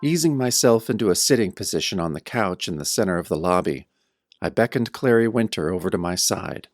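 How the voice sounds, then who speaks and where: A man's voice: low-pitched, animated, a little fast. An actor playing a battered, wry tough-guy hero, narrating his own pulp horror tale in a radio-style audio drama. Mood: determined, wry. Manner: wry, hard-boiled first-person storytelling.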